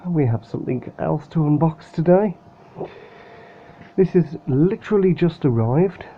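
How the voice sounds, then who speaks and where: Man, casual home vlogger, soft and hesitant, slightly nasal with a cold; thin phone-like audio, faint fan hum. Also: fairly high pitch, lively intonation, a little slow. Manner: speaking softly, hesitant with many fillers. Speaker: model aircraft unboxing vlogger. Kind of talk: casual unboxing chat. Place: room at home with a fan running.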